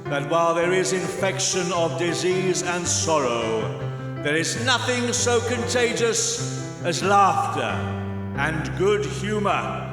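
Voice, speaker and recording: A man's voice: sits high, lively intonation, takes it slowly. A man playing a grand, hearty Christmas spirit in a radio play, declaiming in a stately, commanding way. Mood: jovial, stately. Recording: echoey church with loud music behind.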